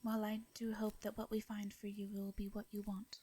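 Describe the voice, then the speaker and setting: A woman with an English accent playing a gentle, courteous vampire in an intimate fantasy audio drama, speaking softly and breathily in formal, hesitant phrases. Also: medium pitch, monotone, slight background noise, lightly acted. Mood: gently curious. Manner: soft breathy delivery, formal old-fashioned diction, hesitant pauses. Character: gentle, courteous female vampire, centuries-worn, wistful yet devious.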